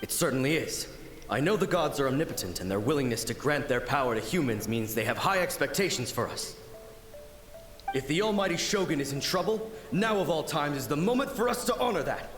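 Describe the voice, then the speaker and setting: A man's voice: on the high side, expressive, a little fast, a bit bright. A man voicing a loyal, brave and respectful samurai soldier in a video game. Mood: resolute. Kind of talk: English video game voice acting. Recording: echoey reverberant voice over faint game music.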